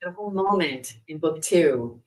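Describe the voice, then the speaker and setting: A woman's voice: low voice, expressive, normal speed, darkish tone, recorded from a distance, rough recording. A woman who is a voice actor, chatting casually and acting out her thoughts as she tells a story. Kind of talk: casual chat.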